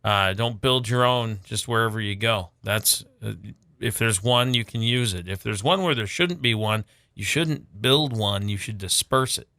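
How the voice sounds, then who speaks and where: A man's voice: fairly low pitch, normal speed, sharp tone. A man co-hosting a morning radio show, teasing his co-host with mock certainty and bantering about campfire safety. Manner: teasing, mock-certain, slips into mimicking voices. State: smoky, rough voice.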